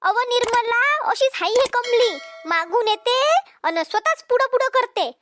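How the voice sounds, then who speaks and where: Casting: woman playing meddlesome cartoon village gossip, female, Vidarbha Marathi accent, extremely high pitched-up voice, tattling. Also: measured pace, a bit bright, slight background noise. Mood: complaining. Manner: tattling, taking sides. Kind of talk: cartoon comedy dialogue.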